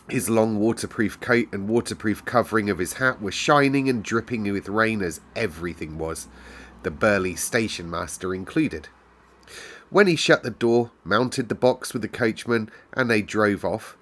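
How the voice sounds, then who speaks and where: A man's voice: on the low side, a bit bright. A British man narrating a storybook aloud as a storyteller. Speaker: storyteller, host.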